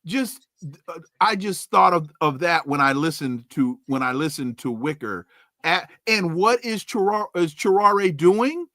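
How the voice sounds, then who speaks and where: A man's voice: a little high, animated, unhurried, fairly bright tone, dry recording, clean studio sound. A man hosting a podcast interview, posing indignant rhetorical questions. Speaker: podcast host.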